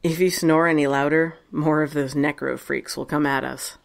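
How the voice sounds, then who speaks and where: A woman's voice: low voice, steady tone, moderate pace, creaky. A woman playing Tessa, a tough, sarcastic and profane survivor, in a fan audio drama. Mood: confrontational.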